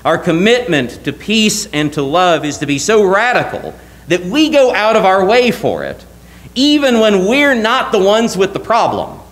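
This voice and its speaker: A man's voice: high-pitched, big pitch swings, moderate pace, fairly bright tone. A young man preaching a sermon from a church pulpit, earnest and emphatic, often asking rhetorical questions. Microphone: lectern mic, wide camera.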